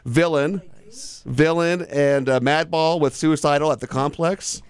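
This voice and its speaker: A man's voice: fairly high pitch, fairly even intonation, unhurried, clear, resonant tone. A man with an American accent hosting a casual radio interview, chatting informally into a studio microphone. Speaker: radio show host.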